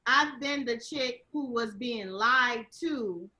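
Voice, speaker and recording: Earnest arguing woman, bright voice. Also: a little high, slow. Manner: earnest, argues point by point. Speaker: comedian guest. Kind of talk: comedic casual chat. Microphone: video call.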